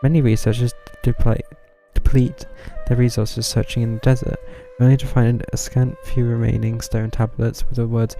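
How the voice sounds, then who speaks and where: A man's voice: mid-range voice, flat delivery, normal speed, gravelly, hardly any pauses, warm tone, rough recording. A man doing casual live gameplay commentary as a streamer, with game music behind him.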